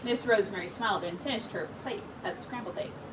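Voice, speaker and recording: A woman teacher reading a picture book aloud to young children in an expressive, animated storytelling manner with dramatic pauses. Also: medium pitch. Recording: distant mic, faint background noise.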